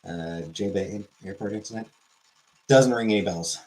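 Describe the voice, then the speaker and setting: A man's voice: fairly low pitch, moderate pace, a little mellow, poor audio. A man hosting a livestream, rambling and joking as he reads chat messages aloud. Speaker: livestream host. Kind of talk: casual chat.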